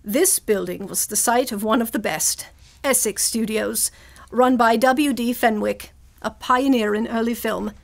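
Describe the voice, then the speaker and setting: A woman's voice: fairly high pitch, unhurried, creaky. A woman playing a genteel, eccentric older stage actress in an old-time radio play, theatrical and florid. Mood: storytelling. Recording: live stage show with music underneath.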